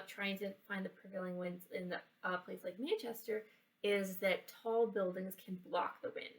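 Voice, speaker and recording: A woman giving a casual how-to science and craft demonstration for children, explaining in a halting way and checking in as she goes. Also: medium pitch, creaky, a bit bright, far from the mic, rough recording. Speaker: library children's program presenter. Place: indoors, in a library building.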